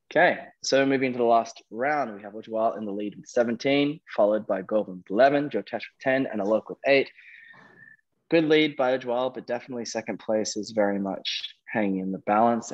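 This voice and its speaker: A man's voice: mid-range voice, brisk, highs cut off. A man hosting a quiz, reading out questions and giving verdicts on answers. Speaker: quizmaster.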